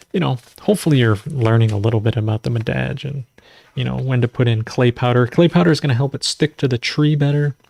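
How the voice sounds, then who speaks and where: A man's voice: mid-range voice, creaky, a little mellow, dry recording. A man hosting a casual live farming Q&A, reading recipes aloud and rambling, often stumbling over numbers and foreign words with self-deprecating asides. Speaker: host of a natural farming livestream.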